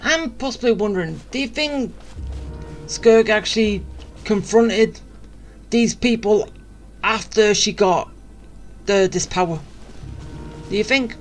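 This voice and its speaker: A woman streaming a game with casual, informal commentary. Her voice sits at a medium pitch and she muses at a slow pace, with faint music behind her. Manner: musing, informal.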